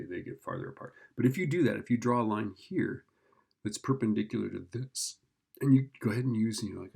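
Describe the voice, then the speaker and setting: A man with a fairly low, gravelly voice gives an informal lesson. He talks through each step as if thinking aloud, in a casual teacher's manner, often checking that the listener follows. His tone has a husky edge. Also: darkish tone. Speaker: physics teacher. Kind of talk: informal worked-problem explanation.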